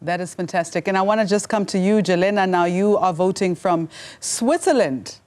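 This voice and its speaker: A woman news anchor introducing a story and asking guests friendly, measured questions in a studio interview. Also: on the low side, a little mellow.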